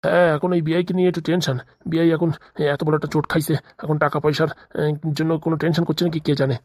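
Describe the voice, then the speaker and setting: Woman voicing a low, fast-talking worried father. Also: little pitch movement, a little mellow. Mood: fretful. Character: worried middle-aged father and future father-in-law, chatty, caring. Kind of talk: comedy cartoon dubbing.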